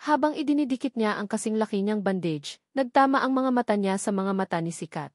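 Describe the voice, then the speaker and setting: A woman's voice: medium pitch, lively intonation, a little fast, no echo, clean studio sound. A woman reading a scripted dramatic story as its narrator.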